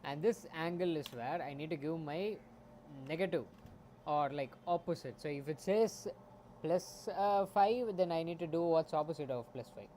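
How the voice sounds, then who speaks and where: A man streaming as a game developer, thinking aloud while he codes, often muttering and trailing off into soft asides, on a quiet home mic. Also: normal speed. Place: home room. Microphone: desktop mic. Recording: faint background noise, low home mic.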